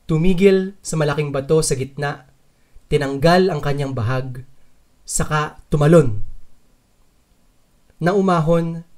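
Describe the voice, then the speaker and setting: A man's voice: fairly high pitch, darkish tone, natural voice. Man acting a curious, wary traveler telling his story. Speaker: narrator and lead character of a dubbed short story. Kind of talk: dramatized first-person literary narration.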